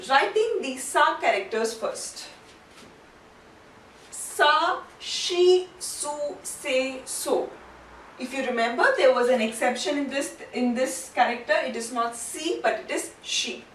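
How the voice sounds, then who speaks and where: Female language teacher, high-pitched and unhurried, deliberate step-by-step delivery, slightly distant mic. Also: animated, a bit bright. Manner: deliberate, repeats syllables and terms for learners. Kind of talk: instructional lesson, step-by-step explanation. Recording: roomy, distant mic, faint background noise.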